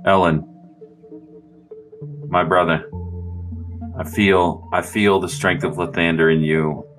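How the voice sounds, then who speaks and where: A man's voice: sits low, steady tone, takes it slowly, fairly bright tone, soft background music. A man playing a devout, brotherly holy warrior in a tabletop game, giving earnest, preachy encouragement. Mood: solemn, fervent. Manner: earnest, preachy pep talk.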